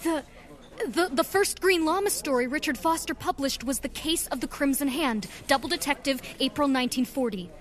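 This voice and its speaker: An actress playing a plucky, earnest young nurse turned adventurer in a radio drama, eager and spirited. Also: female voice, high-pitched, moderate pace, close to an everyday voice. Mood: spirited, determined. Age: young adult.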